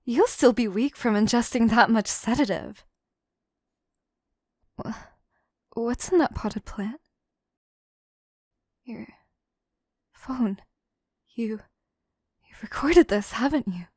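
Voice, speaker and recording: Casting: actress as possessive, menacing female yandere for audio drama; higher-pitched, very unhurried delivery, theatrical diction. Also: darkish tone, no echo, studio quality. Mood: threatening. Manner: theatrical, formal phrasing, slow and deliberate, dropping into whispers. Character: obsessive, possessive yandere assistant woman, scheming and menacing. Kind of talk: scripted audio roleplay, intimate monologue to listener.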